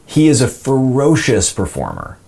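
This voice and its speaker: Animated man talking candidly. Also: mid-range voice, normal speed, vocal fry, breathy edge. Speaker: podcast host and voice actor. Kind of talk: casual, candid talk.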